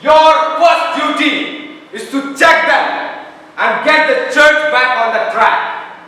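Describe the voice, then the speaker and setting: A man plays a haughty government official, accusatory and imperious. His voice is high and bright, projected slowly in stage declamation. It comes from far off in a very echoey hall. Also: heavily acted. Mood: stern, accusing. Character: haughty, indignant government official defending the regime. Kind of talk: declamatory amateur stage acting. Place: large echoing hall, stage performance.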